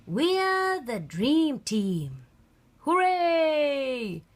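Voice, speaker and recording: Woman acting a rousing, high-voiced soccer mom coach. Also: big pitch swings, very slow, exaggerated voice. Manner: emphatic, calling out. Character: rousing mother who coaches a children's soccer team.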